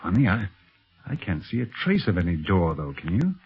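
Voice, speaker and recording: An actor playing a calm, protective American secret police agent in a 1930s radio adventure serial, speaking with earnest authority in a husky voice. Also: male voice, sits low, normal speed. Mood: watchful, hushed. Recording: muffled, scratchy 1930s radio recording.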